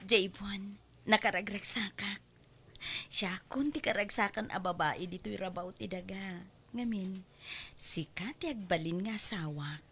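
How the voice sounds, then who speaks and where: A woman's voice: mid-range voice, unhurried, a bit bright, breathy edge. A woman playing an emotional young bride in a radio drama. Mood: joyful, tender.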